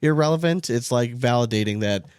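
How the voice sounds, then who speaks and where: Male podcast co-host, rapid rambling casual talk, mid-range pitch, loose and sweary. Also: lively intonation, darkish tone, smooth, no room sound, clean studio sound. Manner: informal, swearing, rambling with frequent "like". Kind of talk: casual sports podcast chat.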